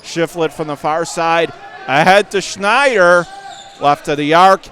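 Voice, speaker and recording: A man doing radio play-by-play of a basketball game in clipped, running phrases with bursts of excitement. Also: a little high, a little slow. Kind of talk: live basketball commentary. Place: indoor high school basketball gym.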